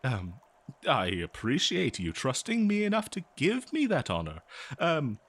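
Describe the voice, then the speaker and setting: A man playing an eager, kindly scholar in an audio roleplay, speaking politely and haltingly to a listener. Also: medium pitch, wildly swooping pitch, fairly bright tone, husky, full character voice. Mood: humbled, gentle. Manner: halting, flustered, polite, exclaiming. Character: eager, scholarly, kindly male researcher of a lost species. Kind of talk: scripted audio roleplay, one-sided dialogue.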